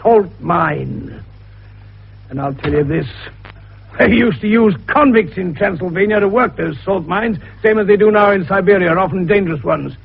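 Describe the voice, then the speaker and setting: Shrewd, expansive older British amateur detective, 1950s radio drama; husky, gravelly, avuncular, on a muffled recording. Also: male voice, high-pitched, moderate pace, exaggerated voice. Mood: explaining triumphantly. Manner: avuncular, folksy, expansive, teasing. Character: jovial, shrewd, wheezy older amateur detective doctor, male. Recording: dull vintage radio recording.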